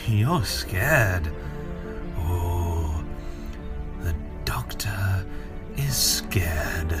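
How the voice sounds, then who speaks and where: An actor playing a gloating, taunting villain in an audio drama, with a drawn-out, mocking, theatrical delivery. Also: male voice, deep voice, expressive, over music, full character voice. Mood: sly mockery.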